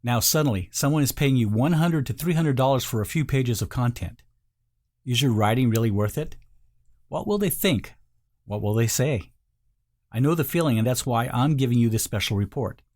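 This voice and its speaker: A man's voice: mid-range voice, animated, normal speed, darkish tone. A man narrating a scripted sales voice-over, speaking directly and persuasively to the listener. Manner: direct, persuasive second-person address.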